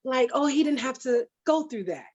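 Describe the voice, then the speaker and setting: A woman's voice: high-pitched, lively intonation, moderate pace, a loud crowd. A woman cast member talking in a panel interview, emphatic and repeating phrases to drive points home. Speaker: stage play cast member. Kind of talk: animated panel interview chat.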